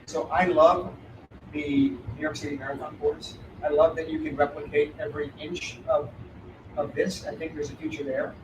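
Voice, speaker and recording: Upbeat man, a runner, praising a product at a live event; far from the mic, roomy, with steady background noise. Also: a little high, moderate pace. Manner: enthusiastic, loose, uses fillers like "like". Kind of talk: enthusiastic talk to an audience. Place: event room with audience. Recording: roomy hall, distant voice, clear noise.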